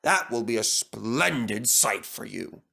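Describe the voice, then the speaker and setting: A big, proud storybook express engine, played by a man, speaking slowly and grandly. He is boastful and pompous, given to indignant grumbling, with wide swings of pitch. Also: lively intonation, vocal fry, pushed and bright, husky, heavily acted.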